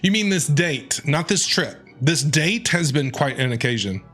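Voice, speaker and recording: A woman's voice: low-pitched, animated, normal speed. A woman game streamer giving casual live commentary, playfully talking back to the characters. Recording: game music behind the voice.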